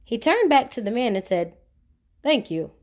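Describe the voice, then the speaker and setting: A woman's voice: on the high side, very animated, brisk, darkish tone. A woman narrating a children's storybook expressively, putting questions to young listeners. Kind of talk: animated read-aloud narration of a children's storybook.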